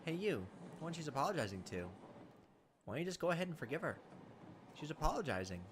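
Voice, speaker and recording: A man's voice: fairly high pitch, big pitch swings, quick pace, noisy background. A man hosting a let's play, reading a visual novel's narration aloud with casual asides. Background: game music behind the voice.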